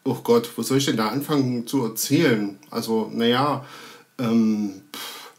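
A man's voice: mid-range voice, steady tone, unhurried. A man in his forties chatting informally and a bit hesitantly as a guest on a video call. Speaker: cameraman and editor, guest. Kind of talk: casual chat. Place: room indoors, on a video call.

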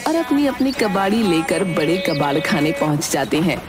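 A woman's voice: lowish voice, big pitch swings, moderate pace. A woman reading a scripted story as the narrator. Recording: music behind the voice. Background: background music.

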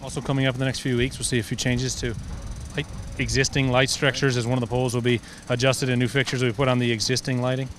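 A man in his thirties hosting a construction update interview, speaking in a brisk, upbeat reporter manner into a handheld mic outdoors at a stadium under construction. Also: medium pitch, flat delivery, a little hiss or hum. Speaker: host. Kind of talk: scripted sports-network interview. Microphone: handheld microphone.

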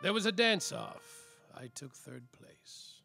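An actor playing a world-weary, tipsy private detective in a slapstick noir radio play, with melodramatic hard-boiled narration. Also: male voice, sits high, very animated, takes it slowly, creaky, pushed and bright, soft background music, exaggerated voice. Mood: gloomy, wry.

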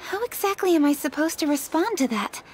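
A woman's voice: high-pitched, animated, brisk, slight background noise. A woman voicing a proud, self-conscious young lady in an anime-style video game, composed but slightly defensive. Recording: music under the voice. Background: game music.

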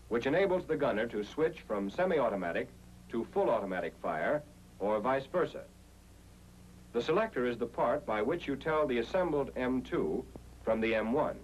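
An American man narrating an instructional film in 1940s style, explaining things in a methodical, step-by-step way. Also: mid-range voice. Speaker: narrator of a military training film. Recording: noisy 1940s film soundtrack with hum.

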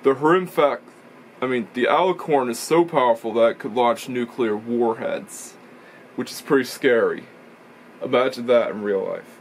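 A man's voice: medium pitch, normal speed, a little hiss or hum, poor audio. A young man talking casually to camera as a racing video reviewer, rambling and correcting himself as he explains. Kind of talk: casual vlog commentary. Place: small indoor room full of toy shelves. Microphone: camera or webcam in front of him.

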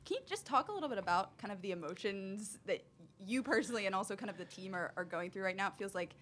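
Bright-voiced woman reporter. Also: mid-range voice, lively intonation, fast talker, slight background noise. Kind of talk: casual press conference question.

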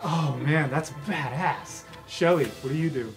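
A man's voice: a little high, a little slow. A man acting as game master, narrating an improvised fantasy game in a casual, vivid, sweary way. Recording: music behind the voice. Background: background music.

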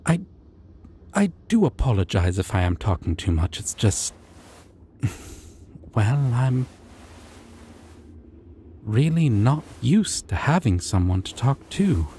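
A man voices a shy, gentle male dragon in a fantasy ASMR roleplay. The voice is mellow and unhurried, rambling in a soft, hesitant, dorky way, with lively swings in pitch. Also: a little hiss or hum. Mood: bashful, playful.

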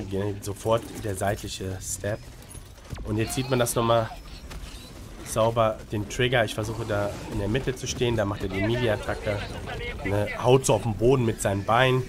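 A man's voice: fairly low pitch, noisy background. Slightly congested man doing casual gameplay commentary. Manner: colloquial, peppered with English gaming slang and tag questions. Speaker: gaming guide creator and streamer. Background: music under the commentary.

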